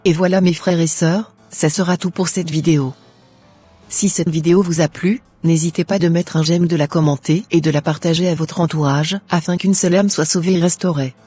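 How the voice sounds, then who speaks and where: Mellow husky woman reading scripture. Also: fairly low pitch, fairly even intonation, soft background music. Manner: measured, devout.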